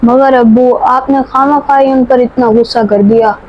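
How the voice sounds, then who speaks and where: Principled youngster, female actor, highish voice, earnest reproach, somewhat muffled lo-fi sound. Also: steady tone, moderate pace, lightly acted. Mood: reproachful. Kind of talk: moral story drama acting. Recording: dull lo-fi sound, faint ambient noise.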